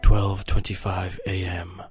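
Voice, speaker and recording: A grave horror-play narrator voiced by a man, deep and utterly monotone. He delivers each line slowly and clinically over clear music. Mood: grave, detached. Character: grave, clinical male narrator logging a sleepless night. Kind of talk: scripted horror drama narration. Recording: loud music under the voice.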